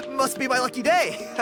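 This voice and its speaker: Animated, cocky young dancer. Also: male voice, very high voice, full character voice. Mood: delighted, showing off. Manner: breezy, self-assured. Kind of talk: video game character acting. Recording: music playing behind the voice.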